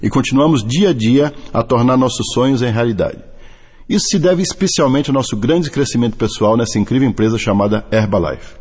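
A middle-aged Brazilian man with a Brazilian Portuguese accent, reading a motivational testimony in a deliberate, earnest way. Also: mid-range voice, darkish tone, breathy edge. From Brazil (Bahia). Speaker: network marketing distributor. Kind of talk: scripted motivational testimony and read-out translation. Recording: long hall reverb.

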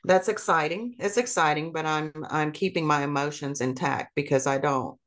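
A middle-aged woman talks in a calm, earnest way, careful with every detail. Her voice sits a little low for a woman. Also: normal speed. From South Korea. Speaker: podcast guest. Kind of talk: conversational interview.

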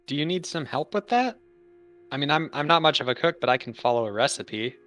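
Lively, teasing player. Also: mid-range voice, brisk. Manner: inquisitive, teasing. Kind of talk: casual in-character roleplay.

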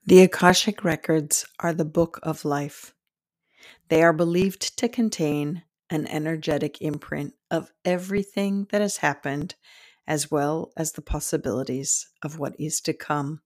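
A woman narrating a guided meditation as host, in a soothing, deliberate manner with pauses between short phrases. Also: sits low, fairly even intonation, darkish tone, no room sound, studio quality.

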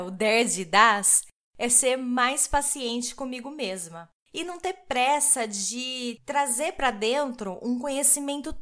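Brazilian woman, solo podcast host; high, bright-toned, enthusiastic and conversational, speaks directly to the audience. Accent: Brazilian Portuguese. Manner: chatty, addresses listeners directly; enthusiastic, laughs often.

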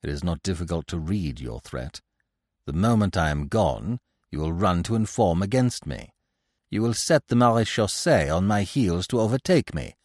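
A man voicing a cool, resolute gentleman fugitive with a quiet, formal and deliberate way of speaking in an audiobook story. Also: low-pitched, big pitch swings, no echo, clean studio sound, full character voice. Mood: cold menace.

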